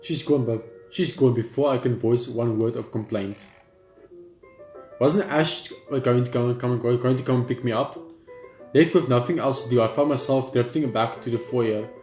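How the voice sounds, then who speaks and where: A man's voice: mid-range voice, steady tone, moderate pace, darkish tone. A man hosting a let's play, reading game text aloud with casual asides and stumbling over the odd word. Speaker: gaming video host. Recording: echoey room, game music behind.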